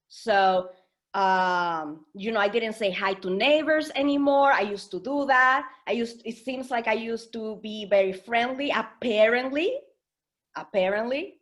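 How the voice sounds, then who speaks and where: A woman from the Dominican Republic speaks at a slow, unhurried pace, in a fairly high voice. She pauses often and searches for her words as she tells her own story. She is recorded over a video call. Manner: hesitant, reflective, many fillers. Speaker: guest speaker, founder. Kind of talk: personal testimony at a webinar.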